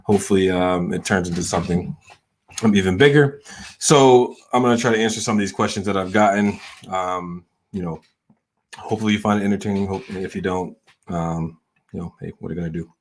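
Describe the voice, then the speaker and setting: A man's voice: deep voice, a little fast, a little hiss or hum. A man from the American South talking casually to his audience in a hesitant, rambling way, full of ums and restarts. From Southern United States. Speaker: channel host. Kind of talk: casual personal monologue.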